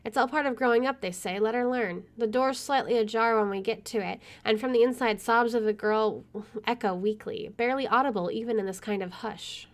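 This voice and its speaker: Higher-voiced woman reading game narration. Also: a little fast, hardly any pauses. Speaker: gaming video host. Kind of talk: reading visual novel narration aloud with casual asides.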